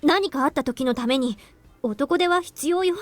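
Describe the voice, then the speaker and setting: An actress as a proud teenage girl in an anime visual novel. She sounds high, curt and guarded, with a prickly hesitance, over background music. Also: fast talker, no echo, close to an everyday voice. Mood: hesitant. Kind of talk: Japanese visual novel voice acting. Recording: music under the voice.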